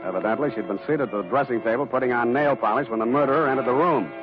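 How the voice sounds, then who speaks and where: An actor playing a hard-boiled, wisecracking private detective in terse, deadpan 1940s radio style. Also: male voice, mid-range voice, rapid-fire, lightly acted. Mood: deadpan narration. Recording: dull 1940s radio recording, background music.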